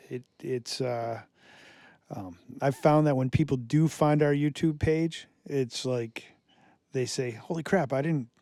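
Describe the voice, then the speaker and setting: Relaxed halting man on studio mic. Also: medium pitch, clear, resonant tone, no room sound. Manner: halting, many fillers and restarts. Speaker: musician guest. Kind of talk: casual radio interview. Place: radio studio.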